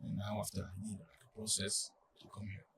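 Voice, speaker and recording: A young man from France with a French accent, speaking English as a second language in a casual interview, halting and full of fillers. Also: fairly low pitch, completely flat delivery, distant mic, crowd noise. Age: young adult. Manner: halting, many fillers and restarts. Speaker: college soccer player, guest.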